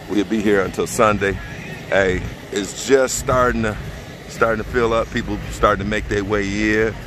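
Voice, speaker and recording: A man's voice: on the low side, background noise. Laid-back man, outdoor camera audio. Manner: laid-back, filler-heavy talk. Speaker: vlog host. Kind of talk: casual vlog narration. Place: outdoors at a racetrack campground.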